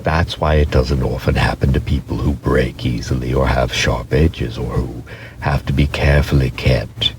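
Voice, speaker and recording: Man playing a wise old toy horse, very deep. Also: fairly even intonation, noisy background. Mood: gentle, wise. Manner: gentle, patient, measured.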